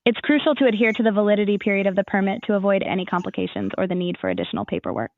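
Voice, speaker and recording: Fast phone-like female AI assistant. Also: medium pitch, expressive, dry recording. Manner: formal, measured customer-service phrasing. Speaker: AI voice assistant for a town's services. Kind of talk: scripted, formal informational answers.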